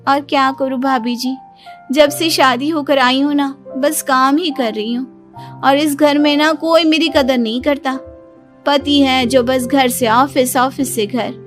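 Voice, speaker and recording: Woman acting a high-pitched, whiny, dramatic young housewife. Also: steady tone, unhurried, music in the background. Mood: complaining. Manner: dramatic wailing, self-praising complaints. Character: whiny, boastful, self-pitying young Indian daughter-in-law.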